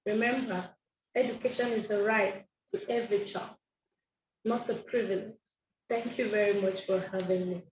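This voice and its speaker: A woman's voice: medium pitch, fairly even intonation, moderate pace, pauses often. A woman speaker delivering a prepared formal speech. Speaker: forum speaker. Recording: dull, echoey, distant, slightly noisy audio.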